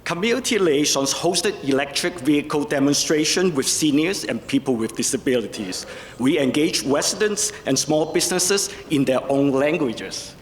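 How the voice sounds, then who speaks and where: A man's voice: a little high, animated, moderate pace. A man giving a plain, explanatory civic presentation. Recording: roomy hall reverb, faint noise.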